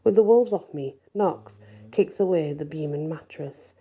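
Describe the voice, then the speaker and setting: A woman reads a fantasy tale in a very dark, mellow voice with a breathy husk. Her tone sits low and creaks into fry at times. She tells it softly and expressively, ASMR-style. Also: a little slow. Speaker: storyteller reading a fantasy audiobook. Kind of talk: ASMR-style first-person dramatic story narration.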